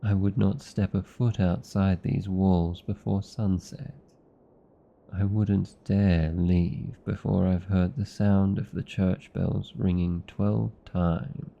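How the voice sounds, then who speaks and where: Man playing a deep, gravelly British vampire lover. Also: little pitch movement, mellow, muffled recording, heavily acted. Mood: vulnerable, pleading. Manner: measured, formal, earnest with deliberate pauses. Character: protective, anxious old vampire lover, tender yet arrogant. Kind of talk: romantic ASMR roleplay acting.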